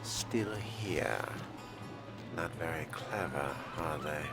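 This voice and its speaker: A man role-playing a dry, smug young vampire in a video game, muttering deliberately and deadpan. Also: rumbling low, over loud music, heavily acted. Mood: sly, dry. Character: male vampire fledgling, dry, smug, self-amused loner.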